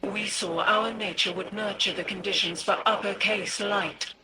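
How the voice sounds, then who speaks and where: A woman's voice: medium pitch, steady tone, moderate pace, a crowd in the background, lightly acted, rough recording. A woman voicing a cryptic cosmic entity in a game, whimsical, grandiose and taunting in a theatrical way. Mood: grandiose, playful. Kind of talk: scripted game cutscene dialogue.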